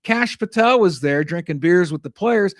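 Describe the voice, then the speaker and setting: A man's voice: high-pitched, lively intonation, normal speed, a bit bright, no echo, studio quality. A young man hosting a talk show, delivering a rambling, exasperated political commentary monologue. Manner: rambling, exasperated, frequent restarts.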